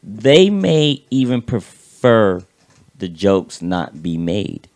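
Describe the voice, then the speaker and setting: A man with a somewhat low, raspy voice full of vocal fry holds forth in a casual podcast chat. He circles back on his words, repeating himself and swearing as he works through a point. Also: sing-song, very slow, darkish tone. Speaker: podcast co-host.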